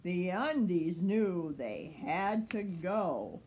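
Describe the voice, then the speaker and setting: A woman's voice: fairly low pitch, expressive, extremely slow, a little mellow, slight background noise. A woman in her sixties reading a children's picture book expressively, lingering dramatically on words. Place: sunny room at home by large window.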